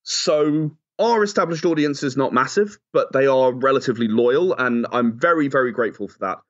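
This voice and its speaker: A man's voice: mid-range voice, animated, moderate pace. Earnest man in a dull lo-fi recording. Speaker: interview guest, audio drama and game creator. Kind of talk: interview, giving advice and explaining. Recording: slightly dull, lo-fi audio.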